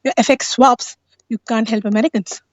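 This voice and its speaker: A woman with a high voice talks through an explanation in a casual, insistent way, regularly tagging her points with questions for agreement. The audio is dry and somewhat dull, like a lo-fi call. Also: steady tone, moderate pace, no room sound. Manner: insistent, often seeks agreement with tag questions. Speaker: guest on a live talk stream.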